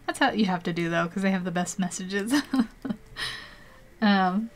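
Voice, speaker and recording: A woman's voice: medium pitch, moderate pace, a little hiss or hum. Young woman, art livestream host, chatty and giggly, rambles between steps, desk mic at home. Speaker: art streamer. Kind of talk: casual live-stream tutorial chat.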